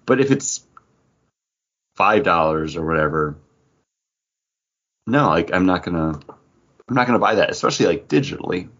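Guy co-hosting a comics podcast, lotsa "like"s, husky-ish and kinda muffled audio. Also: low voice, normal speed, long pauses. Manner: animated, filler-heavy, frequent "like". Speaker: podcast co-host. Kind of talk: casual comics podcast chat.

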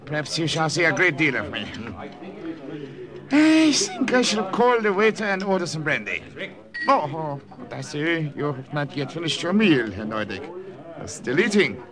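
An actor playing a suspicious, falsely jovial German army captain in a radio drama, with a German accent and sly, menacing politeness. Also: male voice, on the high side, very animated, normal speed, a crowd in the background. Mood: sly, probing. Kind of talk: old-time radio drama acting.

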